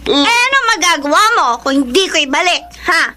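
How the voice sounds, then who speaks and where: A woman's voice: very high-pitched, lively intonation, brisk, pushed and bright, a little hiss or hum, exaggerated voice. A woman playing a spiteful, defiant daughter in a radio drama, petulant and sneering. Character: spiteful, defiant daughter bullying her brother. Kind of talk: emotional radio drama acting.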